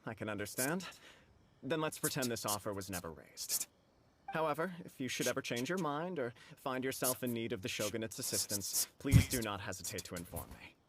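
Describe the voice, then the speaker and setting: A man's voice: mid-range voice, expressive, brisk, very noisy, close to an everyday voice. A man voicing a composed, diplomatic government official in a video game, speaking politely and formally. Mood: courteous.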